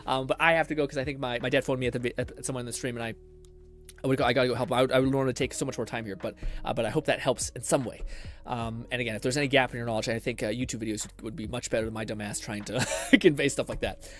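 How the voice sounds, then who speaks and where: Fast-talking casual male streamer, music underneath. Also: mid-range voice, steady tone. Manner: self-deprecating, sweary. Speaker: live streamer. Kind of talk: casual rambling stream talk with tutorial advice.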